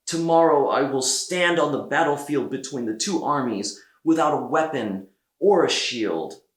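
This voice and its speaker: Brave soldier saint for a kids' tale, man reading, earnest, resolute, animated pitch. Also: unhurried, reverberant, lightly acted.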